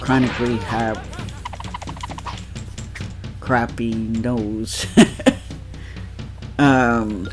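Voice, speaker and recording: A man's voice: medium pitch, fairly even intonation, very slow, long pauses, over music. A man with a nasal voice chatting casually while playing a game, rambling with lots of fillers and laughs. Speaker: let's play co-host. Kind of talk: casual chat over gameplay.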